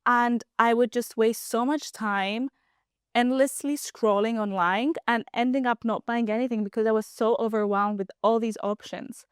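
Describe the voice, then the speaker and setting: A young woman in her twenties from Cyprus speaks as an interview guest, relaxed and chatty. Her voice is smooth and clear. The sound is dry and close. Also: on the high side, normal speed, no room sound. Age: 20s. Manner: relaxed, chatty, laughs easily. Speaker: guest, app founder. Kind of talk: casual interview chat.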